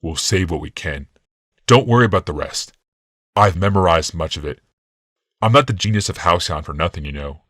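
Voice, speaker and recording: A man's voice: sits low, expressive, moderate pace, fairly bright tone, dry recording, clean studio sound. A man voicing a smug, casually cruel genius in an audiobook, explaining in a taunting, casual way. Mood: nonchalant.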